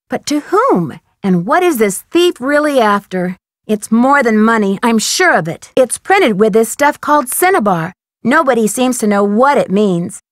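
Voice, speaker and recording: A woman's voice: high-pitched, a bit bright, no echo, clean studio sound. A woman playing a polite, inquisitive, earnest young female amateur detective in scripted adventure game dialogue, speaking articulately. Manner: polite, articulate, eager questioning.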